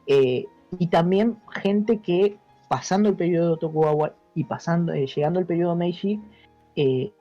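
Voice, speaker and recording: Mellow Argentine man explaining, music behind. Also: fairly high pitch, normal speed. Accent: Argentine Spanish. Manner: didactic, checks understanding with tag questions. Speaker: interview guest, history teacher. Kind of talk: informal explanatory interview. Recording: dull lo-fi audio with music behind.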